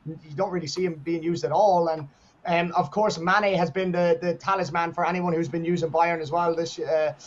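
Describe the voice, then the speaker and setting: A man doing excited play-by-play commentary on a video game football match, shouting at goals, and hoarse as he starts losing his voice. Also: on the high side, moderate pace, muffled recording. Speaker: esports commentator.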